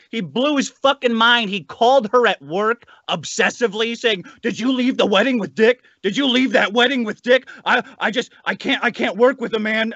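High, bright-voiced man ranting. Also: no room sound, dull audio. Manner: profane, sardonic. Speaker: podcast guest. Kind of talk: casual chat, ranting.

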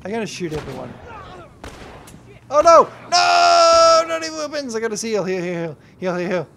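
Drunk, gravelly high-voiced young streamer. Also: male voice, sing-song, normal speed. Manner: rambling and heavy swearing, yelling at the game. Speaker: YouTuber and streamer. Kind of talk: gameplay livestream commentary.

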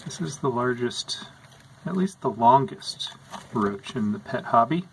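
Slow, calm man explaining his insects. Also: mid-range voice, slight background noise, rough recording. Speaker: insect keeper narrating. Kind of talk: casual narration over hobby footage. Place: indoors at an insect tank.

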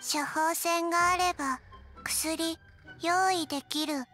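Tiny zombie girl, very high, slow, deadpan, halting, anime game, music behind. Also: heavily acted. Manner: flat, halting, refers to herself by name. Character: small deadpan undead zombie girl, forgetful and stiff.

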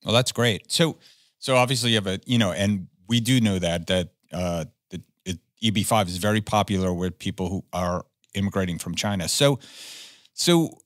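A man's voice: deep voice, moderate pace, no echo, clean studio sound. Man hosting a business podcast. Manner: friendly, rambling questions. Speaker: podcast host. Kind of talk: interview hosting.

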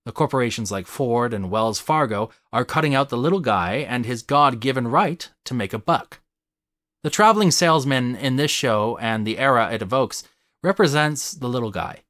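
A man reading a scripted, analytical video essay in a measured, articulate way, stressing key words. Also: mid-range voice, lively intonation, a bit bright, no echo, studio quality.

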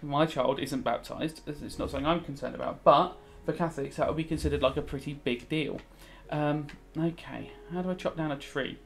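Higher-pitched British man thinking aloud. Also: fairly even intonation, fairly quick, vocal fry, hardly any pauses. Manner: rambling, thinking aloud, switching between explaining and musing. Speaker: religious studies teacher. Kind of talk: casual revision commentary while playing a video game.

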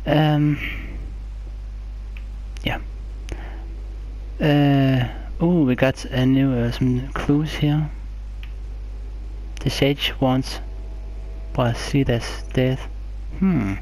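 A person giving casual let's play commentary as a gaming channel host, in a halting, hesitant way. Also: medium pitch, fairly even intonation, mellow, poor audio.

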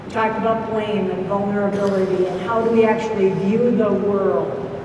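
A woman's voice: mid-range voice, steady tone, moderate pace. A middle-aged woman giving a keynote address in a conversational way. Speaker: keynote speaker. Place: large hall with round tables. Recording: echoey hall, distant mic, background noise.

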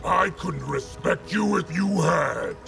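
Slow, gravelly, stern warrior. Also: male voice, fairly high pitch, exaggerated voice. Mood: haughty. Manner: measured, formal. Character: stern, solemn immortal fighter, imperious. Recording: loud music behind.

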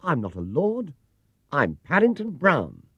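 A small, polite young bear, voiced by a male narrator, pitched well up and lively. He speaks earnestly and hopefully, recorded close and dry for a storybook reading. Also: measured pace, slightly rough, no echo, highs cut off, heavily acted.

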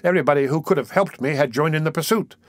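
A man's voice: on the high side, fast, no room sound, pitched above the performer's own voice, natural voice. A man acts out an elderly, poor bookstall keeper from a classic tale. He sounds breathless and earnest, pressing his point insistently in a raised voice, recorded dry and close. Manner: urgent, insistent, pleading.

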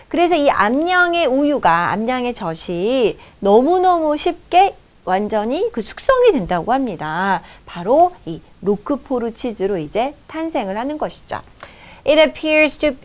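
Slow, bouncy-voiced lady teacher, reads english bits carefully then explains in korean, studio lapel mic. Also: on the high side. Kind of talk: video lesson explaining a news article. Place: studio in front of a projected screen.

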